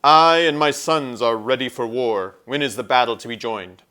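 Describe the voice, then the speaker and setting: A man's voice: medium pitch, normal speed, sharp tone, natural voice. A man voicing a noble, warlike centaur prophet in a storybook, solemn, declamatory and commanding. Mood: rousing.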